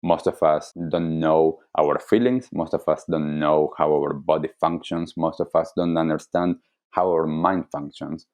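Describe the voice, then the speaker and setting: A man with an Argentine accent giving reflective interview answers as a wellness coach, explaining deliberately and earnestly. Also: very deep voice, steady tone, darkish tone. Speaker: wellness coach, podcast guest.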